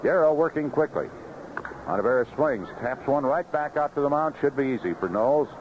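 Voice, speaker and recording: Animated male baseball announcer, noisy old radio. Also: mid-range voice, normal speed. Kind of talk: live radio baseball commentary. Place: outdoor ballpark broadcast booth. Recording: noisy dull vintage 1970s AM radio broadcast.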